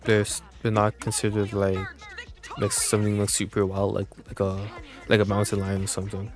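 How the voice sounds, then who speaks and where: A man streaming a game and chatting casually in a rambling, halting way that repeats words. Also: fairly low pitch, completely flat delivery. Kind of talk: casual livestream chat. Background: game music and voices.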